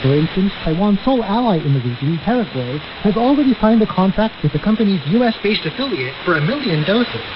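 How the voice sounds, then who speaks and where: A man's voice: sits high, very animated, fast, hardly any pauses. A man delivering a scripted news report on shortwave radio. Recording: dull, hissy shortwave radio broadcast.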